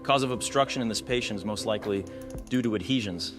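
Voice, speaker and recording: A man's voice: lowish voice, fast talker, music in the background. A man narrating an explanatory case walkthrough as a radiology instructor, posing questions to the viewer as he teaches. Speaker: radiology instructor presenting cases.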